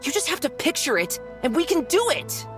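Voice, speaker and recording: A woman's voice: high-pitched, sing-song, a little fast. A woman voicing a playful, encouraging childhood imaginary friend in a video game cutscene. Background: clear background music.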